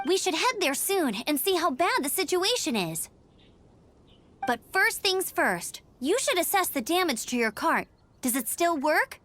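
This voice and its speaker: A woman playing a perky, eager young scout in a video game, speaking briskly and helpfully. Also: very high voice, very animated, fairly bright tone, heavily acted. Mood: concerned. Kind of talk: video game character acting.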